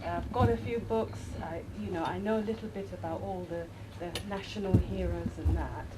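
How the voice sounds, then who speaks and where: A soft-voiced woman in the audience asking a lecturer a question, hesitant and searching for words. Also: on the low side, fairly quick. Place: indoor lecture hall. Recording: audience hall with crowd noise.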